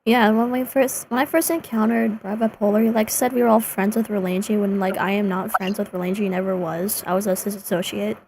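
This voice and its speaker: A woman's voice: mid-range voice, steady tone, fairly quick, hardly any pauses, a little mellow, slight background noise. A young woman from Japan whose first language is Japanese, chatting bluntly and swearing freely in a casual conversation. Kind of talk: casual chat.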